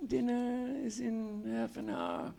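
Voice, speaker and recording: An actor playing a very old, officious but not unfriendly butler in a live radio play, formal and deliberate. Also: male voice, extremely high pitch, wildly swooping pitch, gravelly, very noisy, exaggerated voice. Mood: stiffly formal. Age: older.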